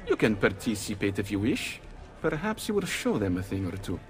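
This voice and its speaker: An actor playing a shrewd, wary Italian leader of a thieves' guild in a dramatic game scene. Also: male voice, fairly low pitch, sing-song, brisk. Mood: warming, confiding. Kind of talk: dramatic video game acting.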